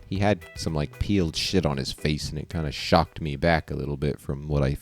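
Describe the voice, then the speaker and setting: A man's voice: very deep voice, fairly quick. A man giving casual, sweary game commentary, reading on-screen text aloud and breaking off into jokey asides. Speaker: game streamer, let's-play host. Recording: background music under the voice.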